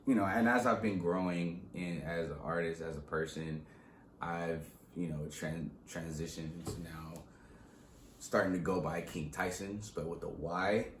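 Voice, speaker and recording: A young man with a very low voice chats about where he comes from in a casual, slangy way. He speaks in an echoey room, with a faint background hum behind him. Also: unhurried. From Southern California. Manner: slangy, animated storytelling with laughs. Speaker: rapper guest. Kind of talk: casual interview chat.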